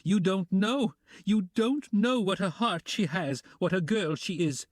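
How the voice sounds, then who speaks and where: A man voicing a desperate, consumptive widow with a hoarse, wailing voice. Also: sits high, measured pace, no room sound, clean studio sound, voice pushed up. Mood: pleading.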